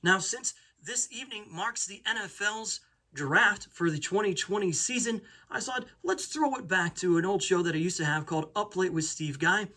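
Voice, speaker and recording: A man with a fairly high-pitched voice hosts a talk show. He talks casually, as an interviewer and presenter addressing guest and viewers. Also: sharp tone.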